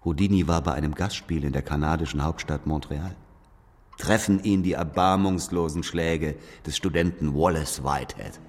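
Casting: insistent, accusing interrogator, very low register, radio play, long reverb. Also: male voice, moderate pace, darkish tone. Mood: grave, accusing. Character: hard, relentless male interrogator confronting an aged knighted author. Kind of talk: German radio drama acting. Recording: long reverb with music behind.

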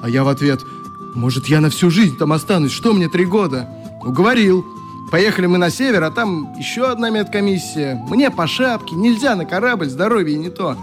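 An actor playing a friendly young youth leader in a radio drama, telling stories in a chatty, expressive way with a wistful streak. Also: male voice, high voice, moderate pace, music in the background. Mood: animated reminiscing. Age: young adult. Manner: chatty, expressive, acts out remembered exchanges. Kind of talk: radio drama, reminiscing dialogue.

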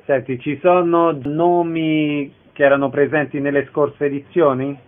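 A male radio host with a fairly high-pitched voice conducts an interview. He speaks in short questions and introductions. The recording sounds muffled and lo-fi. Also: flat delivery, unhurried.

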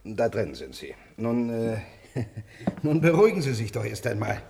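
Eccentric professor, wildly animated, reverberant. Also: male voice, medium pitch, normal speed, vocal fry. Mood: jovial, coaxing. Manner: jovial, coaxing, chuckling, hesitant asides. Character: genial, eccentric, unhurried male physics professor, Nobel laureate. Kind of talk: 1970s German radio play acting. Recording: reverberant hall with background noise.

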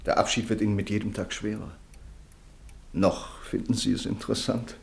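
An actor playing a caring young doctor in an old radio play, gentle and concerned. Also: male voice, on the low side, steady tone, moderate pace, vocal fry. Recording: old mono radio drama.

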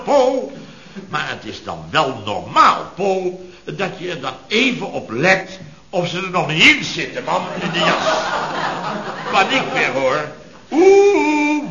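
Sarcastic, long-suffering male boss, 1970s radio comedy, grumbling rants, slightly high voice, dull echoey archive sound. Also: wildly swooping pitch, slow, background noise, full character voice. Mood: indignant, sarcastic. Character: exasperated, sarcastic male boss of a contracting firm.

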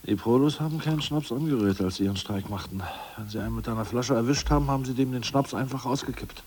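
An actor playing an anxious, complaining ousted party official in a radio drama. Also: male voice, mid-range voice, fast talker, darkish tone, slightly rough, lightly acted. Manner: fretful, plaintive, complaining. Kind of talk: 1980s radio drama acting.

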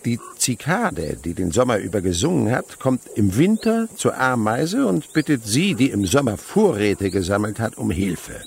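A man's voice: fairly low pitch, sing-song, creaky, a little mellow, a little hiss or hum. An actor in a German radio play playing an older male naturalist, dreamy and fond of insects, with a poetic, theatrical manner. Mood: musing, lively. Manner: poetic, musing, theatrical, breaks into slow singing.

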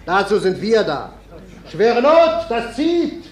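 An actor in a German radio play playing a haughty factory cloth inspector who scolds and scoffs at weavers with bullying contempt. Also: male voice, high voice, big pitch swings, takes it slowly, exaggerated voice. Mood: scornful. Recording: echoey room with crowd behind, vintage radio drama.

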